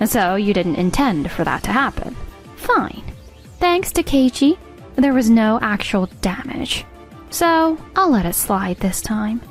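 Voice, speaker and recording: A woman's voice: a little high, very animated, a little slow. A woman playing a stern, commanding company boss in an anime-style dub, with a pointed, authoritative manner. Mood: grudgingly lenient. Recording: music bed behind the voice.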